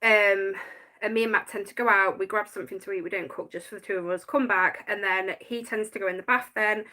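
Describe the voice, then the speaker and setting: A woman's voice: mid-range voice, fairly even intonation, a little fast, sharp tone. A woman, chatting casually on a live stream about her notes and laughing often. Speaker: paranormal channel host.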